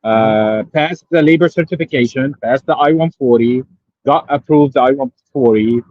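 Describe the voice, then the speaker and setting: A man from Syria calling in to a show, telling his case in a halting way, with many pauses and fillers. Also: medium pitch, dry recording, narrow, tinny audio. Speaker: caller. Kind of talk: phone-in question.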